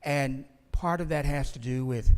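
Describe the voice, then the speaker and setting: A man lecturing from a podium in a museum auditorium, projecting his voice in a plain, steady way. Long reverb from the hall hangs behind his words. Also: medium pitch, unhurried, clear, resonant tone. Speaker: conference speaker, museum historian. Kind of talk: public lecture. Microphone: podium microphone.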